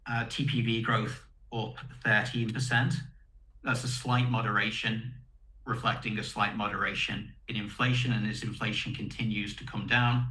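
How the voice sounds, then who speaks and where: An echoey conference call recording carries a man's fairly low, bright voice. He speaks as a company executive presenting results. His delivery is methodical and explanatory, setting out one point after another with a firm, forceful tone.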